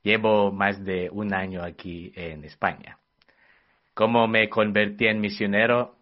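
A man's voice: on the low side, fairly even intonation, unhurried, dull audio. Earnest Filipino man speaking careful Spanish. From Philippines. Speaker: missionary in formation.

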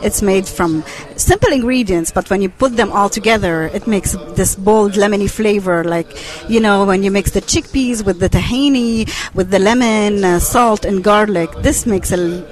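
A woman's voice: mid-range voice, moderate pace, few pauses. A woman with a French Canadian accent, a festival kitchen volunteer, talking proudly and searching for her words. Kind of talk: casual interview.

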